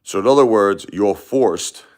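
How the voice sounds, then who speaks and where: Deep-voiced New man, rambling livestream talk. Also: unhurried. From Brooklyn, New York. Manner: rambling, repeats words and restarts, addresses chatters by name. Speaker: livestream host. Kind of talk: casual livestream chat with viewers.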